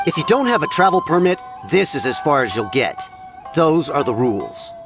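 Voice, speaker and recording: Strict guard npc, bossy and curt, slightly high male voice, music playing behind. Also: very animated, moderate pace. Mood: stern. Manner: commanding, curt. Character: stern, officious male border guard. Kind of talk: video game character acting.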